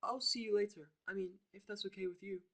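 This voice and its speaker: Man voicing a soft, timid pony girl. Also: sits high, fairly quick, gravelly, pitched above the performer's own voice, heavily acted. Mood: shy, timid. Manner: soft murmur, hesitant stammering. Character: shy, timid young female cartoon pegasus pony.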